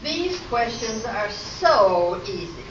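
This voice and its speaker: A woman's voice: fairly high pitch, very animated, slow, highs cut off, loud music behind the voice. A woman playing a smug, bookish quiz contestant in an amateur comedy radio play, speaking like a superior know-it-all. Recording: roomy, distant, very noisy stage recording.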